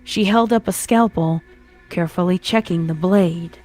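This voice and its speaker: A woman with a fairly low voice narrates a horror story. She reads from a script as the narrator of a fan fiction, with music behind her. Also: moderate pace, a little mellow.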